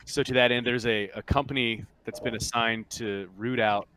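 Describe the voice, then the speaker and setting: A man briefing players as game master of a tabletop roleplaying game, explaining informally and haltingly with many pauses. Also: mid-range voice, fairly even intonation, bright, forceful tone, a little hiss or hum.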